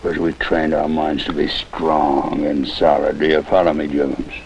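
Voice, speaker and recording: An actor playing a stern, proud cavalry commander in an old American TV western, lecturing in a commanding, condescending way. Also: male voice, a bass voice, little pitch movement, a little slow, vocal fry, full character voice. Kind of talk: mid-century American TV western acting. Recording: dull, noisy old TV soundtrack, rain.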